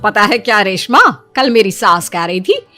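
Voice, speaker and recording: A woman's voice: medium pitch, very animated, measured pace, pushed and bright, faint music behind. A woman voicing a gossipy, friendly, earnest village woman in a cartoon story.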